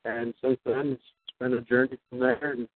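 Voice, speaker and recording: A man's voice: medium pitch, little pitch movement, normal speed, no echo. A man in his twenties from Wisconsin answering interview questions in an easygoing, plain-spoken way with fillers. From Wisconsin, USA. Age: 20s. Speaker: guest fighter. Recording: tinny phone line.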